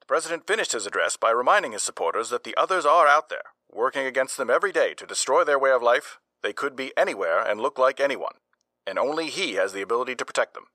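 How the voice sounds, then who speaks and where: A man acting an earnest American newscaster and host in old-time radio style, crisp and theatrical. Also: medium pitch, animated, quick pace, pushed and bright, dry recording. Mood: formal. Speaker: newscaster and story narrator. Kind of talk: old-time radio news and suspense narration.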